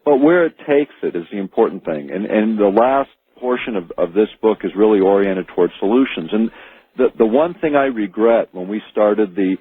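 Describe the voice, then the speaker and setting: An American man speaking as an author guest in a radio interview, explaining earnestly and haltingly, repeating words as he goes. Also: fairly low pitch, expressive, slightly rough, no room sound. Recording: narrow phone-like archive radio audio.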